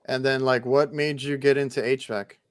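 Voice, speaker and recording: A man's voice: mid-range voice, flat delivery, normal speed, a little hiss or hum. A man hosting a casual chat, asking his guest friendly, easygoing questions. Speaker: podcast host.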